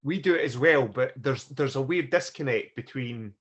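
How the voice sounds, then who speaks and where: A man from Britain co-hosting a podcast, explaining things in an animated way and acting out quotes. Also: medium pitch, normal speed. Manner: animated storyteller, acts out quotes. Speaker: podcast co-host. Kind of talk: casual conversation.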